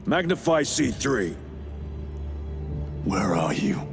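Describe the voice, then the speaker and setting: A terse, resolute lieutenant. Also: male voice, on the low side, big pitch swings, music in the background, heavily acted. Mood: steady. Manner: terse, measured statements. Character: resolute male space marine lieutenant, steady and controlled. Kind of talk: game cutscene dialogue. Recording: game audio with score underneath.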